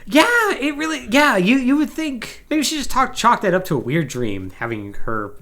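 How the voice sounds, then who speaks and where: A man's voice: very high voice, moderate pace. A man hosting a podcast, giving a casual, conversational recap of a story. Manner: animated, conversational, acting out paraphrased dialogue. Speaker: podcast host.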